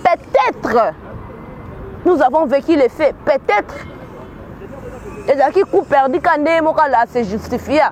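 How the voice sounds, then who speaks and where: A woman's voice: sits high, animated, unhurried, pauses often, a little mellow, a faint crowd, a little hiss or hum. A woman from Kinshasa with a Congolese accent giving earnest spoken commentary, often repeating words as she searches for them. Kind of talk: spoken commentary mixing Lingala and French.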